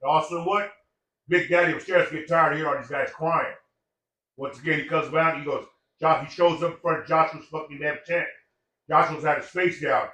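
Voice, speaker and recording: A man's voice: fairly high pitch, fairly even intonation, a little fast, bright, forceful tone, recorded from a distance. Profane, preachy older man in an echoey room. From Samoan heritage. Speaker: podcast guest. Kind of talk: casual chat.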